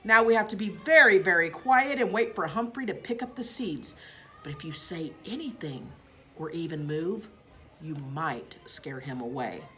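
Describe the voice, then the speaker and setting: A woman's voice: on the low side, animated, moderate pace, pushed and bright. A woman voicing a flustered middle-aged father, a school principal who pleads and gives firm orders in a storybook reading. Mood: hushed instructing.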